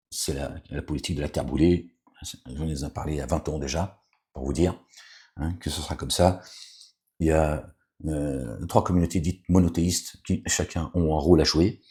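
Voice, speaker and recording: A man's voice: rumbling low, a little mellow. A man giving an informal, rambling sermon and reading scripture aloud, with many fillers, asides to his listeners and admonishing warnings. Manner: preachy, admonishing. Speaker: religious commentator reading scripture.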